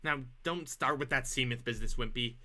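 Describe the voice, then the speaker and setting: A man's voice: medium pitch, a little fast, pushed and bright. A man playing a bashful, plain-spoken cartoon sailor with folksy, mangled grammar in a 1940s-style radio comedy. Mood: grumbling.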